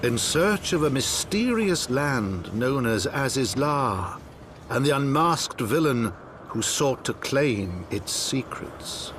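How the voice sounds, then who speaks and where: A man's voice: medium pitch, big pitch swings, slow pace, noisy background. A man playing a grave storyteller who narrates an epic fantasy game in formal, portentous prose. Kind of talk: dramatic scripted game narration.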